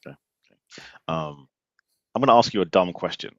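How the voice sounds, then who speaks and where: A man's voice: low-pitched, moderate pace, dry recording, highs cut off. A man of about forty hosting an interview, joking and teasing in loose, informal chat. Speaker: podcast host.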